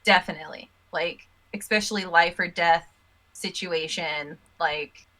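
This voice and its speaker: A woman's voice: lowish voice, measured pace, pushed and bright, low-quality recording. A woman player chatting casually about her tabletop character, loose and with mild swearing. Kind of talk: casual chat about a game session.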